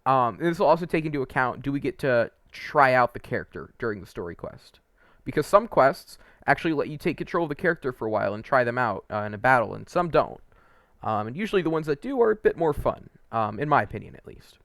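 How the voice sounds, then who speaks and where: A man's voice: medium pitch, sing-song, quick pace, a bit bright, no room sound, clean studio sound. A man giving casual, opinionated video game commentary as a host, conversational and a little hesitant, wandering into asides.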